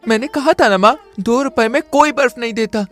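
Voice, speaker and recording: A woman's voice: a little high, fairly quick, fairly bright tone, soft background music, lightly acted. A woman voicing a poor young boy who pleads and complains in a cartoon story. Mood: pleading.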